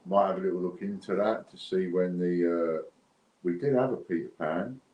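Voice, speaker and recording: Very deep-voiced British man, echoey room. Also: moderate pace, highs cut off. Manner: rambling, unscripted, thinking aloud. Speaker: host of an online raffle and giveaway stream. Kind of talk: casual livestream chat to viewers. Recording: roomy echo with faint background noise.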